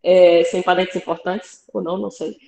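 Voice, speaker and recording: A woman's voice: lowish voice, level tone, moderate pace, vocal fry, darkish tone, echoey room. A woman from Fortaleza with a Brazilian Portuguese accent, talking casually as a podcast guest in a thoughtful, hesitant way, speaking from home with headphones. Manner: thoughtful, hesitant, with light laughs and asides. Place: home room with plants behind. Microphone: headphones on a video call.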